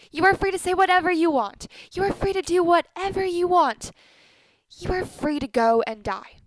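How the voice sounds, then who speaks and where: A woman's voice: high-pitched, fairly bright tone, a little hiss or hum, poor audio. A woman acting in a radio play as a stern, controlling middle-aged mother, speaking in clipped, pointed phrases. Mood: angry, impassioned. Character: Miriam, stern married Turkish woman, a controlling, sharp-tongued mother.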